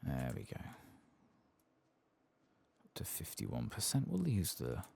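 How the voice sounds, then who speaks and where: A soft-spoken man murmuring relaxed ASMR commentary to himself as he plays a game. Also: fairly low pitch, takes it slowly, creaky, a little hiss or hum. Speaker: game streamer. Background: keyboard typing and clicking.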